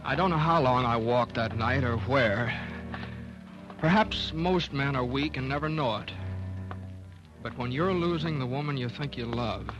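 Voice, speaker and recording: A man's voice: medium pitch, measured pace, highs cut off, music in the background, natural voice. Man acting a hesitant, troubled American doctor, old radio drama. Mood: haunted narration. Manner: hesitant, stammering. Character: troubled, guilt-ridden male doctor, lovelorn and weak.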